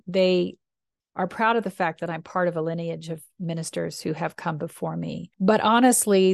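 A woman minister with a deep voice, speaking earnestly and reflectively in slow, deliberate phrases. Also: vocal fry, no room sound, clean studio sound. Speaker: minister and podcast host. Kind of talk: reflective personal talk.

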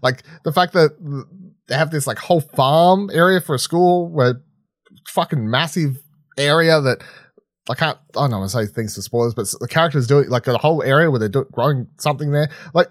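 A man's voice: on the high side, fairly quick, no echo. Rambling man chatting casually, dry close sound. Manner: rambling, sweary, conversational. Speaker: podcast co-host reviewing films and series. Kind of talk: casual unscripted film chat.

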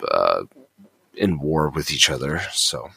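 A man's voice: a bass voice, sing-song, takes it slowly, creaky, pushed and bright, slightly rough, no room sound. A man co-hosting a casual Bible study podcast, explaining at length with frequent restarts. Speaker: podcast co-host.

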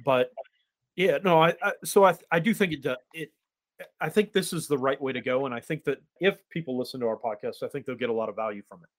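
A man co-hosting a podcast in an affable, jokey way, often chiming in with quick affirmations. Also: mid-range voice. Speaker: podcast co-host. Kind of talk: casual podcast chat.

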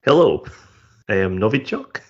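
A man's voice: lowish voice, sing-song, normal speed, muffled recording. A man voicing a proud male Russian stand-up comedian, with a put-on Russian accent and stiff broken English.

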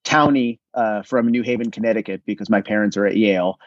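A man's voice: lowish voice, a little fast, no echo. Male policy expert on a dull remote line. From born in Israel, raised in New England. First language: Russian. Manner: self-deprecating, many restarts. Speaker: think tank policy expert, guest. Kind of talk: conversational interview answers.

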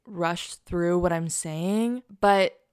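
A woman's voice: on the low side, extremely slow, smooth, dry recording, clean studio sound. A young woman hosting a podcast alone, rambling in casual, self-deprecating chat with a slight lisp. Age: young adult. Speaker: podcast host.

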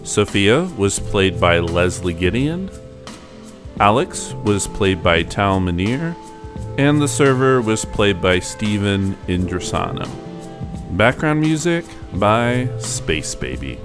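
Low-voiced male podcast host over music. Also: sing-song, takes it slowly. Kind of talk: podcast hosting.

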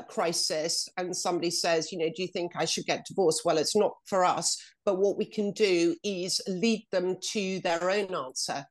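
A woman from Ireland speaking as an interview guest, soft-spoken and reflective, with hesitant restarts, over a video call. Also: on the low side. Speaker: intuitive energy reader, guest. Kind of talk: casual interview talk.

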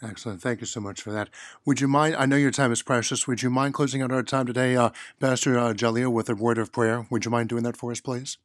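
A man's voice: mid-range voice, fairly even intonation, fast talker, hardly any pauses, no echo. A man interviewing a guest, polite and affirming in his questions.

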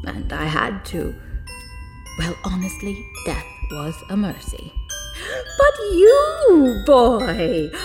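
Give the part of an ancient mad female inventor, manic yet doting. Her pitch swings widely while she lingers over her words with theatrical relish. It is an audio drama, with faint music underneath. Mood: excited. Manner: theatrical, savoring words, breathy gasps and laughter.

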